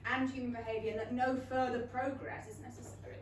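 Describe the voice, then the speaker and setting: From far off in a very echoey, noisy theatre, an actress plays a young English daughter of the period. She is clever and assertive, with a fairly high voice. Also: level tone, fast talker, lightly acted. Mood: eager, opinionated. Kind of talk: theatrical period comedy stage reading.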